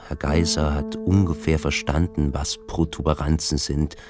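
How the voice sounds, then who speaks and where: A man reads literary prose as the narrator of a radio play. His voice is extremely low, and music plays clearly behind him.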